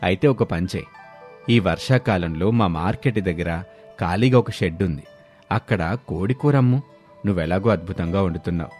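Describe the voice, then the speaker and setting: A man voicing a kindly village benefactor in an animated moral story, generous and encouraging in manner. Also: deep voice, moderate pace, faint music behind, pitched below the performer's own voice, lightly acted. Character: kindly village benefactor offering a poor woman help.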